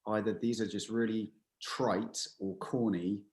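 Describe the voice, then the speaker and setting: A young man hosting a podcast, energetic and upbeat in casual talk. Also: fairly low pitch, unhurried. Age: young adult. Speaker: podcast host.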